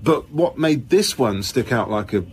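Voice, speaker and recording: A joking, wily police boss acted by a man in a radio play. He is blustery and insinuating, with a pitch that moves about a lot. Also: lively intonation, unhurried, slight background noise, close to an everyday voice. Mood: confiding, briefing. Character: jocular, wily male senior police commissioner. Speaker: actor in a radio drama. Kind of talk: naturalistic radio drama acting.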